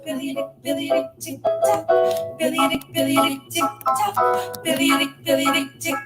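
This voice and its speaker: A woman sings in a 1940s swing style. Her voice is very high, in a roomy echo, with loud music behind her. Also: fairly even intonation, normal speed. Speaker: singer. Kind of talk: 1940s swing song performance.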